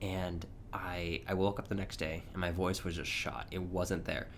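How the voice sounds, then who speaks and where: Rambling young man, slightly hoarse. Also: deep voice, flat delivery, normal speed, slight background noise. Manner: rambling, chatty, with frequent chuckles. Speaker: podcast host. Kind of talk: casual solo monologue.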